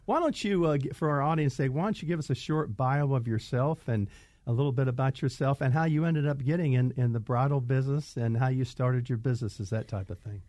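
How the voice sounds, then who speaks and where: A man's voice: mid-range voice, fairly quick, a little mellow, no room sound. An older man hosting a radio home show, talking casually and repeating himself as he goes. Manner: chatty, repeats words, stumbles.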